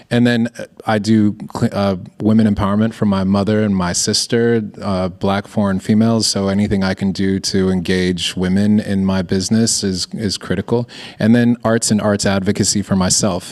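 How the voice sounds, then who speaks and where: A man giving a conversational, thoughtful panel answer as a business founder, halting and circling back on his phrases. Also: deep voice, darkish tone. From born in Ethiopia.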